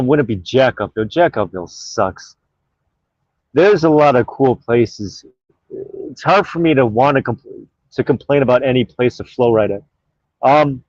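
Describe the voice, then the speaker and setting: A man hosts his own live stream and chats casually, reading viewer comments aloud and wandering between topics with many fillers. His voice sits at a middling pitch. The audio is dull and lo-fi, with no room echo. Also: darkish tone. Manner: rambling and offhand, with many fillers.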